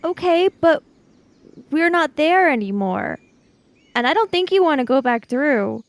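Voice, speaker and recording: A woman's voice: high-pitched, expressive, measured pace, no echo. A woman playing a sensible kid in improvised tabletop roleplay, reasoning aloud in a questioning, matter-of-fact way. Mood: uncertain, practical.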